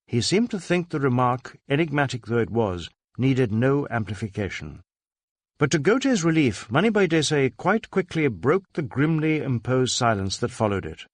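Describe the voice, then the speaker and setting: Man, audiobook narrator, scripted literary storytelling, medium pitch, dry studio-like sound. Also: sing-song, normal speed, no room sound.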